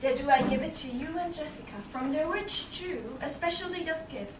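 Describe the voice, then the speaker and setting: A woman's voice: high-pitched, fairly quick, close to an everyday voice. A young woman playing a saucy lady's maid in a Shakespeare staged reading, playfully declaiming from a stage. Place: small theatre stage with audience. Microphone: far camera in the audience. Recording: roomy, distant, noisy theatre recording.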